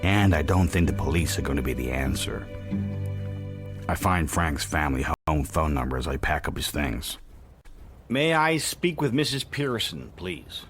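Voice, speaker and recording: A man's voice: rumbling low, very animated, moderate pace, over music. An actor with an American accent playing a controlled, determined, secretive man who also narrates a radio drama. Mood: detached, brooding, then cool, insistent. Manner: controlled, insistent. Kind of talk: radio drama acting with first-person narration.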